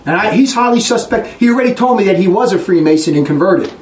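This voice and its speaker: A man giving an impassioned religious talk to a group in a fast, blunt, combative way. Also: sits high, lively intonation, darkish tone. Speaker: religious preacher. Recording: echoey room, distant mic, faint noise.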